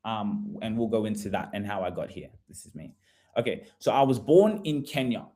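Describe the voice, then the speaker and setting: A man's voice: on the low side, lively intonation, normal speed, mellow, soft background music. A man presenting an informal live webinar, conversational and repetitive, often putting rhetorical questions to listeners. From Australia. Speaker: webinar host, property investment advisor.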